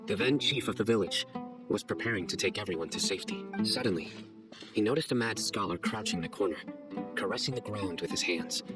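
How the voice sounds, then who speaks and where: Low-voiced, stern male investigator played by an actor. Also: over loud music, close to an everyday voice. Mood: solemn, storytelling. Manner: measured, formal, deliberate. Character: stern, guarded male investigator, young adult, formal and measured. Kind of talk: scripted video game dialogue.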